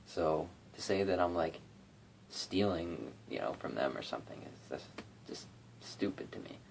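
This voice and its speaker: A man's voice: low voice, animated, normal speed, gravelly, a little hiss or hum, poor audio. A young man rambling casually about covering songs, full of fillers and restarts, recorded on a phone in his bedroom. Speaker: YouTube cover singer and guitarist. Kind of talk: casual rambling vlog talk.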